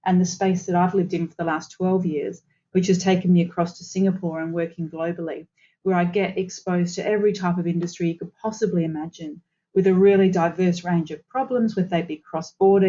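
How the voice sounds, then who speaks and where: A woman's voice: lowish voice, fairly even intonation, fairly quick, dark tone, lo-fi sound. An Australian woman, a consultant guest on a podcast, telling her career story fluently and with enthusiasm. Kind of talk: podcast interview.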